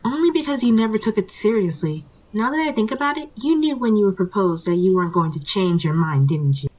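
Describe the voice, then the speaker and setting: Fed-up wife, acted by a woman: defiant, accusatory, bickering, with a husky edge, for story audio. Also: medium pitch, animated, brisk, darkish tone, voice pushed up, natural voice, rough recording.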